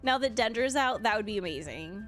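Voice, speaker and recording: A woman's voice: a little high, lively intonation, brisk, a bit bright, faint music behind. A woman game streamer reacting casually and dramatically, with gushing exclamations.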